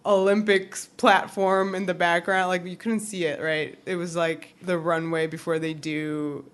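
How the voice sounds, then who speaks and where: A woman's voice: on the low side, little pitch movement, measured pace, clear, resonant tone. A woman co-hosting a podcast, chatting casually through a TV recap in a giggly, conversational way peppered with "like.". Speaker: podcast co-host.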